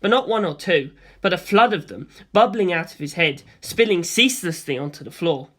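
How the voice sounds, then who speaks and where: Animated audiobook narrator with lively pitch. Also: a little fast. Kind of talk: expressive first-person story narration.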